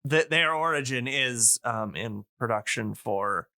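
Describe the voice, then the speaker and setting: Bright, clear-voiced man chatting on a podcast. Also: mid-range voice, animated, measured pace, no room sound, clean studio sound. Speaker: podcast guest and audio fiction podcaster. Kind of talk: casual, enthusiastic info-dumping podcast chat.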